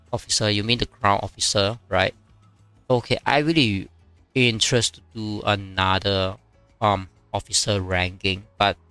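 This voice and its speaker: A man whose first language is Chinese, streaming a strategy game and chatting casually, with many fillers and pauses as he reads viewer comments. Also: on the low side, sharp tone, no room sound. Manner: halting, many fillers, reads chat aloud.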